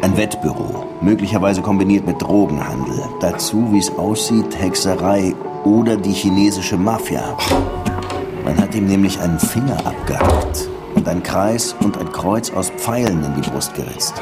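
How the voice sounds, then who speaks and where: An actor playing a world-weary, hard-drinking Havana police detective who narrates and talks laconically in a German radio drama. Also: male voice, low voice, normal speed, loud music behind the voice, close to an everyday voice. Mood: confiding, weary.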